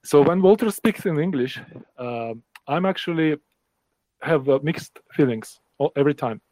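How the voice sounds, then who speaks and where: A man's voice: on the high side, animated, moderate pace, a little mellow, rough recording. A man in his fifties from Ukraine, Ukrainian-accented English, speaking in a casual panel discussion, often stopping and restarting as he searches for words.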